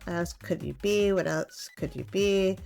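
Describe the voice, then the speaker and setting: Slow, low-pitched woman streaming a game. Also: gravelly, darkish tone, soft background music. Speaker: gaming streamer playing a visual novel. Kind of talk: casual let's-play, reading game text aloud.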